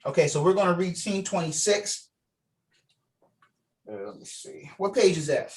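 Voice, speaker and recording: Man, slightly high pitch, casual director, encouraging, video call, reads scene directions. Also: sing-song, normal speed. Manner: encouraging, informal. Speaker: director leading a table read. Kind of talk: casual meeting talk, reading out scene directions. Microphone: video call microphone.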